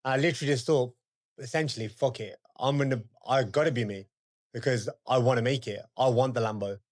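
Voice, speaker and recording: British bloke hosting a podcast, normal pitch, slangy casual chat, swears a bit. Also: male voice, a little fast. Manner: informal, slangy, swearing, interjecting agreement. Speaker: podcast host.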